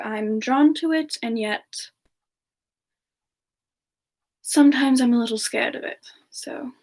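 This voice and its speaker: Woman acting as gentle frost demon woman, higher-pitched, unhurried, soft-spoken, hesitant, polite, intimate role-play ASMR chat. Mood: thoughtful. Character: gentle, polite amnesiac frost-powered demon woman, reborn from long ago. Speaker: streamer answering viewer questions in character. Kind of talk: semi-ASMR in-character Q&A, soft improvised chat.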